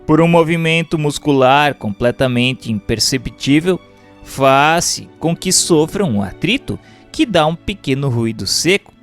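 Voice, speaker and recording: A Brazilian man narrates a written text in a formal, instructive way. His voice sits a little high and swings widely in melody, with careful enunciation. The pace is unhurried, and soft music plays underneath. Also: animated. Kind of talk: scripted narration, reading a book aloud.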